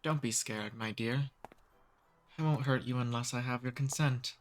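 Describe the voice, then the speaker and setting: A man's voice: mid-range voice, soft background music, natural voice. Man playing a courtly, seductive male vampire. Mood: predatory, soothing. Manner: courtly, slow seductive teasing. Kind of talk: ASMR roleplay, intimate address to listener.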